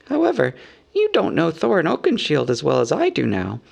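A man voicing a small, fussy, anxious male hobbit from a storybook, squeaky, polite and rambling in a businesslike way. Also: wildly swooping pitch, normal speed, vocal fry, heavily acted. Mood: businesslike, weary. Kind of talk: storybook character voice.

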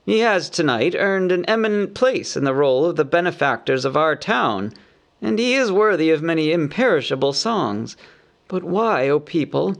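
Male reader voicing a crafty old town master: gravelly, indignant, orating loudly. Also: fairly high pitch, big pitch swings, normal speed, voice pushed up. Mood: wary. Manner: indignant, rhetorical oratory.

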